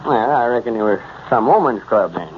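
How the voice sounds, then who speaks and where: A man's voice: on the low side, animated, brisk, close to an everyday voice. An actor playing a self-important, easily indignant old country man with a rural Southern accent, folksy and pompous, in a 1930s radio comedy. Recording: muffled, noisy 1930s radio recording.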